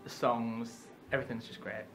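A man's voice: mid-range voice, flat delivery, normal speed, over music. A man from the pantomime cast, speaking in an upbeat, effusive way.

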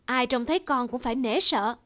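A woman voicing an eager, boastful young male lion cub in an animated fable, childlike and exclamatory. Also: a little high, brisk, slight background noise, lightly acted. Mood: excited boastful.

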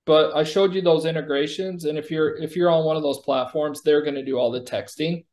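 A man's voice: fairly high pitch, steady tone, brisk, darkish tone. A man giving a conversational product demo as a company executive, explaining things informally.

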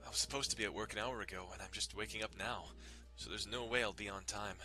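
Low, very bright male narrator. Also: quick pace, soft background music. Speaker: dramatic reader. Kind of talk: dramatic narration of a visual novel.

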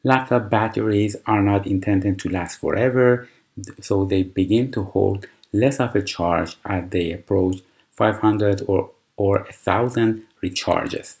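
Brief: native Persian-speaking man, very low and unhurried, tutorial presenter with frequent "uh"s. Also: vocal fry, a little mellow. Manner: unhurried, explanatory, with frequent fillers and restarts. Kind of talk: screen-recorded software tutorial.

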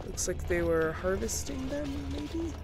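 A woman giving casual let's play commentary on a video game, thinking aloud in an unhurried way. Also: medium pitch, lively intonation, over music. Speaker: gamer, series host.

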